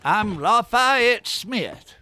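An actor playing a genial, talkative frontier storekeeper with a folksy Southern American accent in a radio drama. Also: male voice, sits high, animated, very slow, bright, forceful tone, faint music behind, exaggerated voice. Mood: genial, chatty. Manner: leisurely, folksy.

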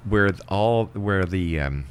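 A man's voice: sits low, lively intonation, slow pace, clear, resonant tone. A man hosting an interview show, talking conversationally and making his points with emphasis.

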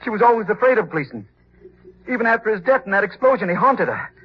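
An actor playing a frightened, guilty wife-killer in an old radio crime drama, halting and desperate. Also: male voice, high-pitched, sing-song, slight background noise. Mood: insistent, nervous. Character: frightened, guilty husband who murdered his wife. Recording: dull lo-fi old radio recording.

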